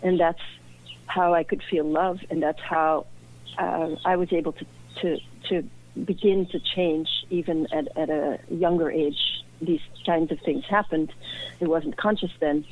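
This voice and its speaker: A woman's voice: low voice, fairly even intonation, a little slow, darkish tone, slight background noise. A woman from Belgium, a guest and author, talking earnestly and reflectively in an interview. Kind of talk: personal interview. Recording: tinny phone-like line.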